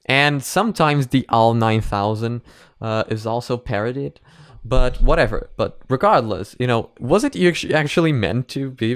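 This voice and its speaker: Rambling young man, crisp and dry. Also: medium pitch, no room sound. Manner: rambling, halting, informal swearing. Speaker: reaction video host. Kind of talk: casual reaction commentary and analysis.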